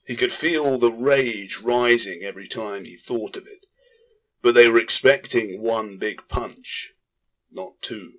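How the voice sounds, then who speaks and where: A man's voice: medium pitch, a little slow, a bit bright, poor audio. A man narrating a fantasy audiobook in a dramatic, scripted storytelling style.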